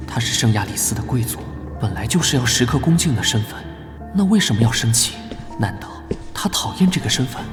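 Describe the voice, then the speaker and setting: A man voicing a guarded, proud young knight vice-captain in an animated drama, serious and reserved. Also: medium pitch, close to an everyday voice. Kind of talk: animated drama dubbing with inner monologue. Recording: long reverb, loud music behind. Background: music.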